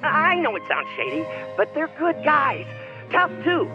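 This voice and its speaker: An actor playing an anxious, apologetic cabaret boss speaking over the phone in a video game drama. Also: male voice, very high voice, huge pitch swings, measured pace, muffled recording, music in the background, exaggerated voice. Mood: hopeful, urgent.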